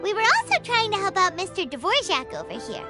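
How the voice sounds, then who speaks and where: A small fairy-like companion in a game. The voice is very high, squeaky and jumps around with excitement. Loud music fills the background. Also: female voice, heavily acted. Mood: chirpy, excited. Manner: squeaky, bubbly and fast-reacting. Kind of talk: game cutscene voice acting.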